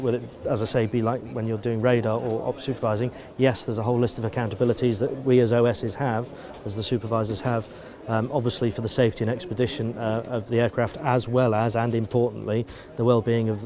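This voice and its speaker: A British man, an operations supervisor, giving explanatory interview answers in a methodical way. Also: mid-range voice. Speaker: air traffic control supervisor interviewee.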